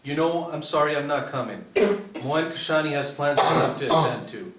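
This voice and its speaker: A man's voice: a little high, normal speed, close to an everyday voice. A man playing a cool, sly undercover pilot in a screenplay read, speaking in a clipped, insinuating, matter-of-fact way. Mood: conspiratorial. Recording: echoey room, distant mic, faint noise.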